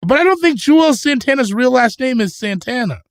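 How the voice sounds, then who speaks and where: A man co-hosting a comedy podcast, riffing jokes in animated banter and trailing off into mutters. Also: extremely high pitch, a little fast, no echo, clean studio sound. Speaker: podcast co-host.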